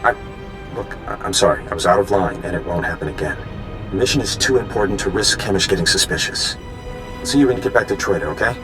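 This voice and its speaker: A man's voice: low voice, fast talker, over music, natural voice. An actor playing an earnest, anxious young man working as an undercover mole in a video game. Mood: apologetic. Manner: earnest, eager, apologetic. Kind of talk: scripted video game dialogue.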